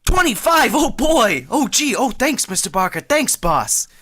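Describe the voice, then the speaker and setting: A woman's voice: on the high side, big pitch swings, unhurried, fairly bright tone, husky, heavily acted. A woman playing a nervous young male store clerk in a vintage-style radio comedy, stammering and hesitant. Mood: eager. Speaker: radio drama actor.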